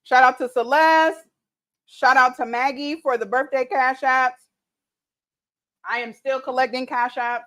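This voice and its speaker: A chatty, easygoing woman in her late thirties with a drawl, her voice pitched a little high. She talks loosely and laughs often, a little tipsy, like she's reading comments off her own livestream. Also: steady tone, measured pace, long pauses, a bit bright. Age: late 30s. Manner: chatty, laughing, reads viewer comments aloud. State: tipsy from mimosas. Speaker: cooking livestream host. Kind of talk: casual livestream chat.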